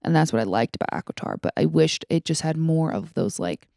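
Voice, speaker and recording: A young woman co-hosts a podcast in a fairly low voice with plenty of vocal fry. She talks casually and bluntly, leaning on filler words. The sound is dry and close, with very little room. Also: expressive, a little fast, dark tone, dry recording. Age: young adult. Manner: informal, peppered with like and mild swearing. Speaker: podcast co-host. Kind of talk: casual opinionated chat.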